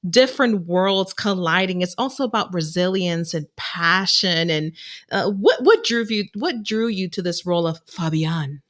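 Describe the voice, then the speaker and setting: A woman's voice: fairly low pitch, lively intonation, moderate pace, fairly bright tone. A woman co-hosting an interview podcast, upbeat and playful as she asks her guest questions. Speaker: podcast co-host. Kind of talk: casual interview chat.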